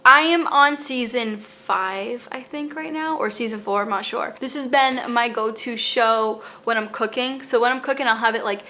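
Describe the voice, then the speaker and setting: Bright-voiced Boston woman chatting casually. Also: a little high, moderate pace, few pauses. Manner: chatty, rambling with fillers and restarts. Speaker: lifestyle vlogger. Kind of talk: casual show recommendations.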